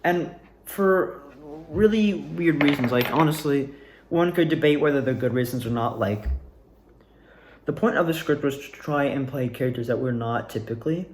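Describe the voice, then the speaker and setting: A man's voice: mid-range voice, normal speed, darkish tone, a little hiss or hum. A young adult man rambling casually and candidly about his own experiences, like a student actor giving a personal recap. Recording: roomy, echoing room sound.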